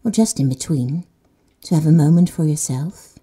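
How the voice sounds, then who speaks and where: A woman guides a sleep meditation in a very mellow, breathy voice set slightly low. She speaks slowly and soothingly and sometimes drops to a hushed whisper. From Netherlands. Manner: slow and soothing, dropping to soft whispers.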